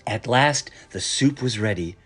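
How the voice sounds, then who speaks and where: Male storybook reader, slow measured delivery, very lively pitch movement, music behind the voice. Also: slightly rough. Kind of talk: expressive storybook narration.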